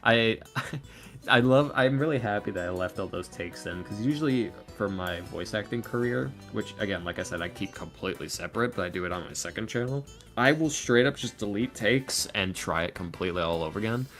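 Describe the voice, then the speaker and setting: A man's voice: fairly low pitch, expressive, brisk, a bit bright, faint music behind. A man chatting informally as a podcast guest, telling anecdotes and acting out the quotes in them. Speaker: podcast guest, voice actor and ASMR creator. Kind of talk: casual chat, storytelling.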